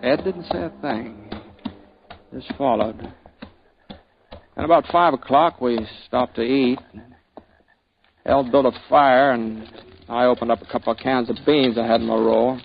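A man's voice: mid-range voice, measured pace, vocal fry, long pauses, a little hiss or hum. A laconic frontier gunman. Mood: laconic narration. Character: laconic frontier gunman narrator, calm and dry. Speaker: lead narrator and hero. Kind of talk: 1950s radio drama acting. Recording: dull old radio broadcast with music.

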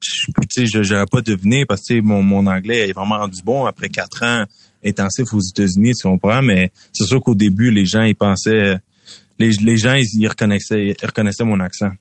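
A man's voice: lowish voice, steady tone, brisk, no room sound. A young man with a Québécois French accent, answering interview questions casually, with many fillers and repeated words. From Quebec. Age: young adult. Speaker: football draft prospect guest.

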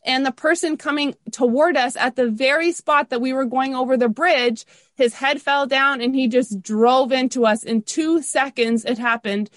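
A woman's voice: high voice, normal speed, no echo, studio quality. A middle-aged woman with a Canadian accent, telling personal stories as an interview guest. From Toronto area, Canada. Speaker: interview guest, university teacher.

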